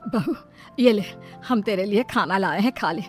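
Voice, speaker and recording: A woman's voice: mid-range voice, expressive, normal speed, faint music behind, lightly acted. A woman voicing a traditional, submissive mother-in-law who admonishes yet cares, in a cartoon moral story. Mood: hushed caution.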